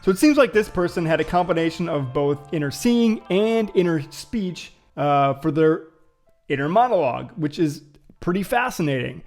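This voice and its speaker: Musing man with soft music behind. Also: a little high, animated, moderate pace. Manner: musing, thinking aloud with many fillers. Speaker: vlogger host. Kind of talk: casual reflective vlog talk.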